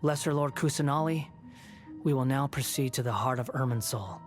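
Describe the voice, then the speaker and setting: A man's voice: medium pitch, normal speed, music in the background, lightly acted. An actor playing a smug, sardonic young man in a video game, speaking in a cool, snide and condescending manner. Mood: cool, commanding. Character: smug, sardonic young male prisoner on temporary release.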